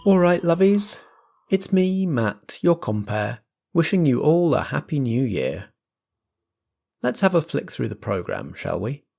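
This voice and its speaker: A man's voice: fairly high pitch, very animated, unhurried, vocal fry, darkish tone. A man hosting as compere and game master, making announcements and setting scenes with theatrical phrasing. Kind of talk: scripted announcements and scene narration.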